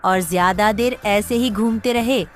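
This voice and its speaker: A woman voicing a worried poor village wife and mother, plaintive and fretful, in an animated moral story. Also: mid-range voice, unhurried, soft background music, natural voice. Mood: distressed.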